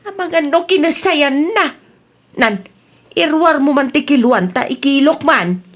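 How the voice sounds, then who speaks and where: A high-pitched woman's voice with an Ilocano accent acting out a village wife in a comedy radio-style drama. She is chatty and evasive, full of exclamations and quick retorts. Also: lively intonation. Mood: fretful.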